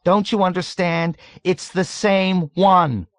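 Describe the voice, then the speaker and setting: A man voicing an anguished, wild-eyed soldier in an audiobook story, shouting emphatically. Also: high-pitched, wildly swooping pitch, moderate pace, no echo, voice pushed up, exaggerated voice. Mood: emphatic.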